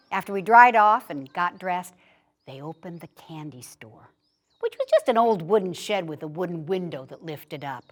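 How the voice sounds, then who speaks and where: Female narrator for a first-person memoir reading, lower register, measured storytelling delivery. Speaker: story reader.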